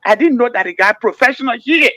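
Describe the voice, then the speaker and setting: A woman's voice: on the high side, animated, fast talker, bright, forceful tone, no echo. A woman with a Liberian accent calling in to a radio talk show, speaking emphatically and often stammering and repeating words. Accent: Liberian English. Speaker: caller.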